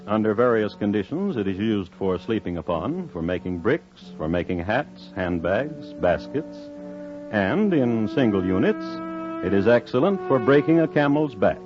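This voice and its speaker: An actor playing a wry, deadpan storyteller, narrating a 1950s radio crime drama. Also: male voice, lowish voice, normal speed. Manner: deadpan, droll. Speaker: narrator and host. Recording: muffled vintage 1950s radio recording. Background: music.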